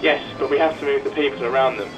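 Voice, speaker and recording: A man's voice: mid-range voice, steady tone, fast, distant mic, over music, natural voice, low-quality recording. An actor playing a terse, matter-of-fact police marksman in a radio drama. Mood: focused.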